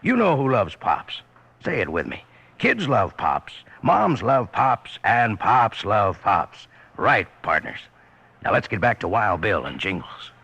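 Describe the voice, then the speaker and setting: An actor playing a hearty old cowboy announcer with a Western American accent and gravelly voice, giving a vintage radio pitch. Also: male voice, very animated, slow, pushed and bright, full character voice. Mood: hearty, folksy. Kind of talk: 1950s radio advertising pitch.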